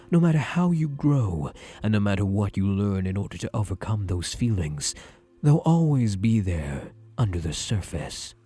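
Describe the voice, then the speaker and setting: A man playing a possessive, doting therapist in an ASMR roleplay, speaking in a soothing, deliberate, hushed way with breathy murmurs. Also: on the low side, full character voice. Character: possessive male therapist, softly controlling, doting and obsessive.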